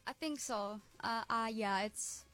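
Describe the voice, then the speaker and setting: A woman's voice: fairly high pitch, a bit bright, soft background music. A young woman from South Africa with an American-sounding accent, a cheerful musician guest on a radio interview who laughs easily. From South Africa, Durban. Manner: cheerful, laughs often, quick asides.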